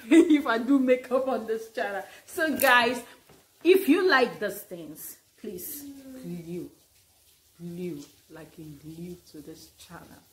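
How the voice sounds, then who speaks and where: A woman vlogger talks to her camera at a slow, unhurried pace, her voice sitting a little high. English is not her first language, and she laughs often and speaks with lively expression. She is recorded indoors in a living room at desk distance. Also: a little hiss or hum. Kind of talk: casual channel intro to camera.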